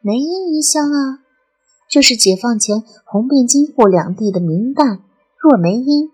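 A woman playing a lively, playful and chatty nineteen-year-old girl in an audio drama. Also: on the high side, unhurried, voice pushed up. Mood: excited, proud. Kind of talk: Chinese audio drama acting.

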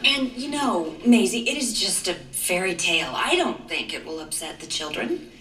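A woman's voice: mid-range voice, sing-song, normal speed, bright, forceful tone. An actress playing a reasonable suburban mother who politely stands her ground in a TV drama. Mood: politely insistent. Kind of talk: TV drama acting. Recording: echoey room, distant from mic.

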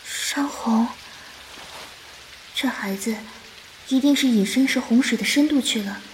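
An actress playing a sick, gentle young woman herbalist in a period wuxia audio drama, speaking softly, tenderly and wearily. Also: fairly high pitch, unhurried, a little hiss or hum, natural voice, rough recording. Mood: concerned. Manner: gentle, weary, tender, coaxing.

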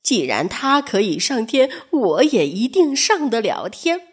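A woman's voice: on the high side, sing-song, unhurried, slightly rough, exaggerated voice. A woman voicing a greedy, gullible male steward in a fairy tale, musing smugly. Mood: smug, gleeful. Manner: smug musing.

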